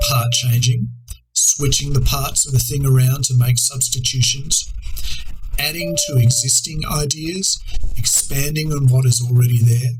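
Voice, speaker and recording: A man presenting an educational video as a writer, reading scripted instructional narration in a measured, articulate way. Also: mid-range voice, rough recording.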